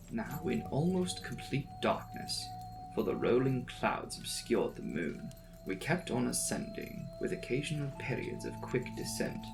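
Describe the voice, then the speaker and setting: A man's voice: medium pitch, vocal fry, low-quality recording. A man giving a dramatic, suspenseful reading of a classic gothic novel as an audiobook narrator.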